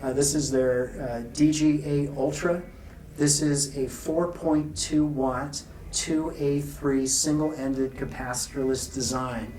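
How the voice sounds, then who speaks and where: A man being interviewed at a trade show, explaining technical details in a measured, informal way. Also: mid-range voice, fairly even intonation, a little mellow, distant mic. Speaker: loudspeaker company founder being interviewed. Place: exhibit room at an audio show. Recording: off-mic, noisy exhibit room.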